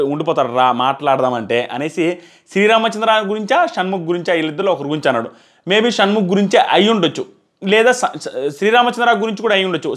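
A man's voice: sits high, fairly bright tone. A man gives a casual spoken review to camera, explaining and repeating his points for emphasis. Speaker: reality show reviewer. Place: small plain indoor room. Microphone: clip-on lapel mic.

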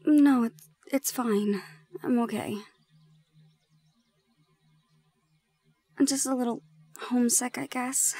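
A woman playing a shy, gentle young gorgon in an intimate audio roleplay, speaking softly and haltingly. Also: a little high. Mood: homesick, hesitant. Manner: soft, hesitant, halting.

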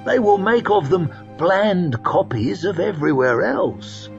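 A man's voice: high voice, big pitch swings, creaky, dull audio, faint music behind, pitched above the performer's own voice, full character voice. Man doing a haughty, grandiose schemer. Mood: scornful. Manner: haughty, drawn-out, theatrical. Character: scheming, grandiose male adventurer, scornful of ordinary people. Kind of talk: audiobook character reading.

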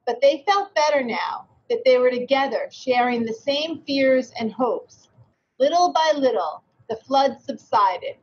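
A woman's voice: high-pitched, unhurried. A woman hosting a read-aloud, reading and chatting with children in a simple, encouraging way. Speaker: read-aloud host, educator. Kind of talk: storybook reading and friendly discussion. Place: large meeting room indoors. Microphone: video call, distant from camera. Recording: dull video-call audio, faint noise.

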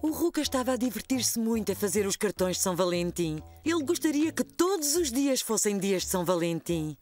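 A woman's voice: medium pitch, big pitch swings, a little fast, a little mellow, faint music behind, close to an everyday voice. A woman with a European Portuguese accent reading scripted storybook narration for a children's cartoon. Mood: storytelling.

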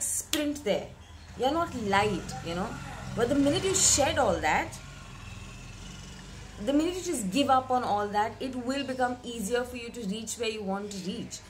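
A young woman, slightly hoarse from a cold, giving a reflective weekly tarot reading to camera in a small room, often repeating words for emphasis. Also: fairly high pitch, slight background noise. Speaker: tarot reader. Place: bedroom with curtained window, indoors.

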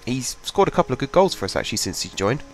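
A man's voice: medium pitch, very animated, fast talker. Young guy w a cold, rambly gaming commentary at his desk, congested and casual. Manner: chatty, rambling, thinks aloud with fillers. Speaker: gaming channel host. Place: home desk.

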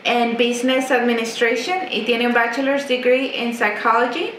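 Chatty high-voiced woman from the border. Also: little pitch movement, normal speed. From Texas–Mexico border. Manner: chatty, warm, laughs often. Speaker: business owner guest. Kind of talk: interview answers. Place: office, seated at desk. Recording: roomy office with faint noise.

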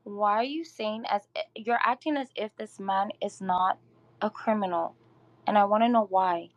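A woman's voice: medium pitch, moderate pace, no echo, highs cut off. A woman of Somali origin calling in to a show, insistent but polite, repeatedly addressing the host as "sir.". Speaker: caller.

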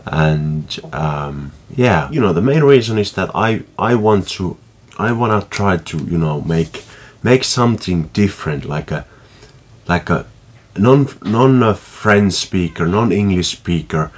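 A man in his thirties with a Finnish accent speaks to the camera from a small room at home. His voice sits very low and a little husky, and he talks slowly, stopping often with fillers and restarts. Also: lively intonation. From Finland. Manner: halting, many fillers and restarts, gestures with hands. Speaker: vlogger. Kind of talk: casual talk to camera.

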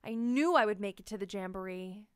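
A woman's voice: medium pitch, fairly quick, clear, resonant tone, no room sound, clean studio sound, lightly acted. A woman playing a hopeful, determined young male tortoise in a storybook, earnest and upbeat.

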